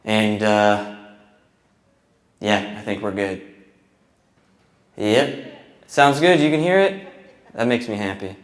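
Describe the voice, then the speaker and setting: A man's voice: lowish voice, takes it slowly, pauses often, no room sound. A laid-back man chatting casually and informally as a sound engineer vlogging his setup and testing microphones. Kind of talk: casual vlog chat with mic checks.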